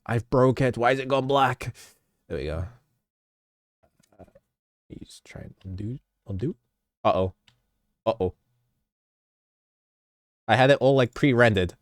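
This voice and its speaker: A man's voice: medium pitch, lively intonation, a little slow, long pauses, no room sound, studio quality. A man with a stuffy, slightly sick voice, rambling through a casual behind-the-scenes talk about his video edits, often stopping himself mid-sentence and chuckling.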